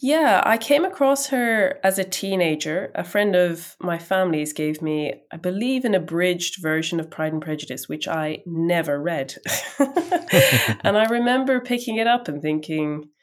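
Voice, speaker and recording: A woman guesting on a podcast, chatty and laughing between thoughts, a little raspy from a summer cold. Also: on the low side, lively intonation, normal speed. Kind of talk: casual conversation.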